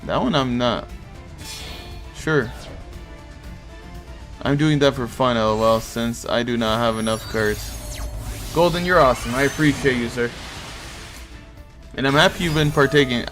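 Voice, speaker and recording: Male streamer, Portuguese accent, laid-back chatty host, moderate pitch, faint background music. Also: normal speed, pauses often, a bit bright. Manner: easygoing, chatty, reacts to chat. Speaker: streamer hosting a card game tournament. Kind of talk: casual live-stream chat reading viewer comments.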